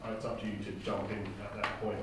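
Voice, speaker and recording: A man with a slightly low voice talks a group through plans in an informal, rambling way. He is picked up from a distance in a very reverberant room, with clear background noise. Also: completely flat delivery, fast talker. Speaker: table read organizer. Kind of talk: casual briefing to a group. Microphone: distant room microphone. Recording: echoey room, far mic, noisy background.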